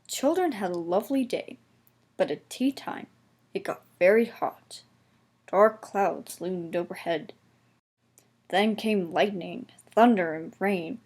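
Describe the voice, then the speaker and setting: A woman narrates a children's storybook at an unhurried pace. Her voice has a medium pitch, and the delivery is scripted reading. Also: darkish tone, slightly rough.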